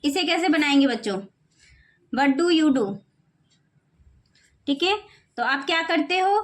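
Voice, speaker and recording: A woman teaching spoken English to children, slowing right down to model each phrase and often checking in with "okay, children.". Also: high voice, expressive. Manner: slows down sharply to model English phrases word by word; repeats phrases and keeps saying "okay, children". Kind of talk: classroom-style lesson in Hindi.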